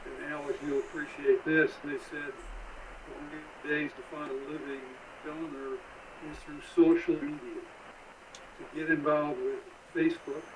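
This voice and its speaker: A man in his seventies telling his own story as an interview guest, unscripted, halting and explanatory, over a distant radio link. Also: on the high side. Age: 70s. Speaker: interview guest, transplant recipient. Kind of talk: unscripted personal account.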